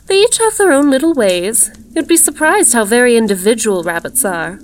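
An eerie undead lady from a gothic horror tale, put on by a woman narrator. Her voice is lifted high, sweetly cooing and ceremonious, with a plaintive edge. A little noise sits behind her. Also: animated, moderate pace, close to an everyday voice. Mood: fond, cooing. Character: ghoulish, glittering-skinned leprous woman, cooing sinister hostess.

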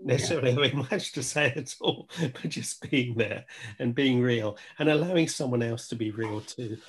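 A man's voice: medium pitch, normal speed, slightly rough. A man talking reflectively as a podcast guest, earnest, repeating phrases for emphasis. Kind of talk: reflective conversation.

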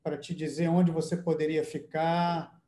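Male interviewer, attentive, high mellow voice, echoey room. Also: little pitch movement, a little slow. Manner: attentive, prompting questions. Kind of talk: interview questions.

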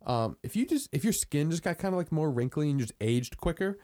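A man's voice: a little high, sing-song, a little fast, dark tone. A young man in his early twenties co-hosting a podcast, rambling casually with lots of fillers and swearing. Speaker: podcast co-host. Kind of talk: casual chat.

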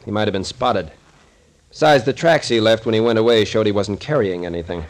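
An actor playing a gruff, shrewd captain who takes charge, dry and commanding, in an old radio thriller. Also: male voice, on the low side, a little fast, close to an everyday voice. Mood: dry, explaining.

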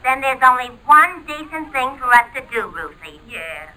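An actress playing a brassy, gossipy woman on a party line in a 1940s radio comedy, slangy and sassy with drawn-out emphasis. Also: high voice, big pitch swings, bright, forceful tone, exaggerated voice. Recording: noisy old radio, telephone-like sound.

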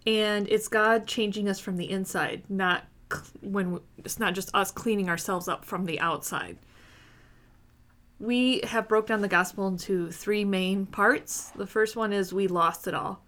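A woman in her thirties hosting a casual podcast in a room with her sisters, earnest and quick to laugh, pausing with fillers as she thinks aloud. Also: mid-range voice, a bit bright. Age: 30s. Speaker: podcast host. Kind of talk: casual faith podcast chat.

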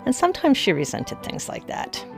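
A woman's voice: sits high, huge pitch swings, moderate pace, vocal fry, soft background music. A woman historian being interviewed, explaining conversationally and stressing key words.

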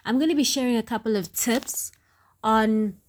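A woman's voice: a little high, moderate pace. Upbeat, chatty South African woman host. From Soweto, Johannesburg, South Africa. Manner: chatty, upbeat, often asks "right?" and adds "um"; mixes in township slang. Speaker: podcast host. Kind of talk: casual solo podcast chat.

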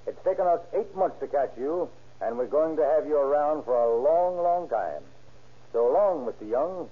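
Stern old-radio police detective, tinny sound. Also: male voice, fairly high pitch, animated, normal speed. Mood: dryly scornful. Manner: curt, dry, authoritative. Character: stern incorruptible police detective, adult man. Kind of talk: 1930s radio acting. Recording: scratchy, tinny 1930s radio recording.

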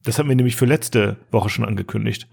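A man's voice: fairly low pitch, quick pace, creaky, darkish tone, breathy edge. A man hosting a podcast in loose, colloquial, unscripted chat with a teasing touch. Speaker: podcast host.

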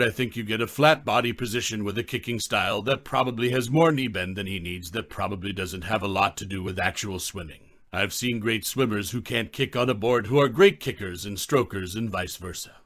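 A man's voice: on the low side, fairly bright tone, no room sound, studio quality. A man coaching swimmers, giving a folksy, rambling talk with a joke. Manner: folksy, rambling, tells jokes. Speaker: swim coach. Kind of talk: conference talk.

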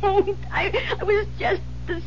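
A woman's voice: very high voice, steady tone, measured pace. An actress playing a terrified young woman, tearful and breathless as she pleads in a radio drama. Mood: tearful pleading. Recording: lo-fi old radio broadcast, noisy.

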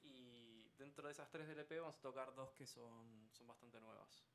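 A man's voice: medium pitch, steady tone, moderate pace, a bit bright. A man from Argentina, a band musician, telling a story casually in a radio interview. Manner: storytelling with laughing asides. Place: radio studio.

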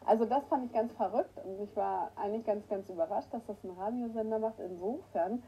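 A woman with a cold hosting a casual morning livestream, greeting her viewers by name and clearing her throat often. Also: medium pitch, a little fast, dull audio, a little hiss or hum. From northern Germany. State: has a cold, hoarse at times. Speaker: livestream host. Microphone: new microphone that is not working right.

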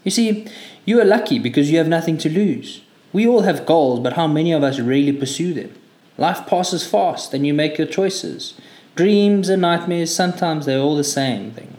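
A man puts on a chatty, chain-smoking Frenchman with a thick French accent, who muses about life and dreams. The voice sits higher and louder than the narrator's own, animated and wistful. It rings with a roomy echo. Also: moderate pace, natural voice. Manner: musing, philosophical, animated.

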